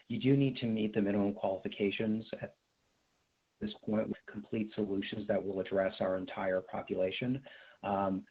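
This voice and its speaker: An American man hosting a formal question-and-answer conference call, speaking in measured official phrasing with some hesitation over video call audio. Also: on the low side, vocal fry, phone-quality sound.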